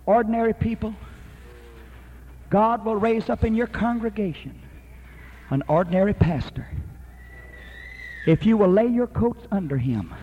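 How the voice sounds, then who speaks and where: A man preaching a sermon in an emphatic, rhythmic style that rises to shouted peaks. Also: high voice, husky, lo-fi sound.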